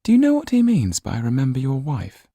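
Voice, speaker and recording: A man voicing an anxious, earnest young aristocratic girl with a soft, urgent manner in an audiobook. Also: medium pitch, huge pitch swings, brisk, dry recording, pitched above the performer's own voice. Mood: distressed.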